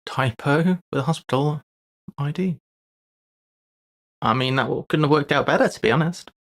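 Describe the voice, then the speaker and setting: A man streaming casually, thinking aloud in short, halting fragments as he works through puzzles. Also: fairly high pitch. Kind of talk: casual live-stream chat while solving crosswords.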